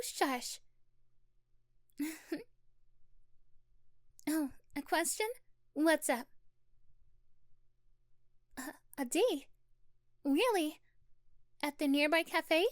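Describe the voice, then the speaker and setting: Woman acting a high-pitched, bashful schoolgirl with a crush. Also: no room sound, studio quality, full character voice. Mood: flustered, giggly. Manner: hesitant, giggly, bashful. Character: sweet shy flustered schoolgirl with a crush. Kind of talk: ASMR roleplay acting.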